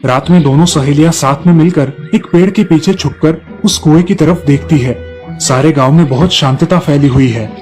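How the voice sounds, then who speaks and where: Casting: male narrator for children's moral tales, fairly high voice, music bed. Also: normal speed, a little mellow. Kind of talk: scripted children's story narration.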